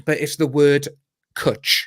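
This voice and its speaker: A man in his thirties talks with a broad Cardiff Welsh accent in a slightly high voice. He is chatty and jokey, laughs a lot and keeps calling people "mate". He comes over a video call with a dry, close sound. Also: level tone, slow, fairly bright tone, no room sound. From Wales. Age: 30s. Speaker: guest. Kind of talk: casual chat.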